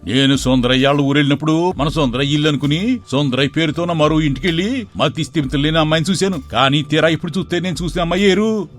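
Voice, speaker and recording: A man voicing a scheming middle-aged uncle in an animated story, sly and wheedling. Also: a little high, quick pace, soft background music, natural voice. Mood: frustrated. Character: scheming middle-aged man plotting to seize his sister's estate.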